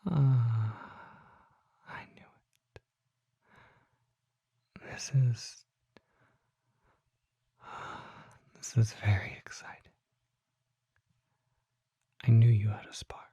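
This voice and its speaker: Someone doing a refined vampire shopkeeper asmr, reverent breathy whisper, bit of creak, super close dry mic. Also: medium pitch, fairly even intonation, warm tone, no room sound, exaggerated voice. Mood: reverent excitement. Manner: formal, deliberate, with halting dramatic pauses. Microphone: close microphone.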